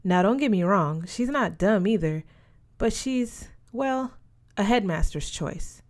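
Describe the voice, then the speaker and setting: A woman's voice: mid-range voice, animated, measured pace, natural voice. A woman voicing a frank, worldly teenage boarding-school girl in an audiobook, breezy and emphatic. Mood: knowing, gossipy.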